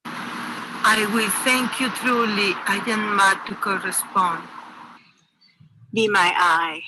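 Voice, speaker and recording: A woman giving a slow poetry reading, recorded with a noisy background. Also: medium pitch, fairly even intonation.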